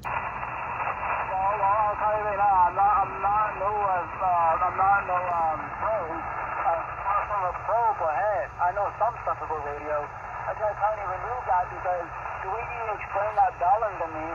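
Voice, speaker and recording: A man's voice: high-pitched, unhurried. A Canadian man chatting casually over ham radio, explaining things informally and repeating himself. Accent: Canadian English. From Ontario, Canada. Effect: heard over shortwave radio. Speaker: amateur radio operator and live streamer. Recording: muffled, very noisy shortwave radio reception. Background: loud radio static.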